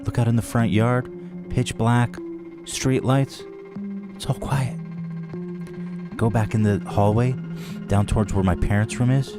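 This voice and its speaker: A man hosting a podcast, telling a comic story in a dramatic way, dropping now and then into a hushed whisper. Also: mid-range voice, fairly even intonation, a little slow, pauses often, over loud music. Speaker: podcast host.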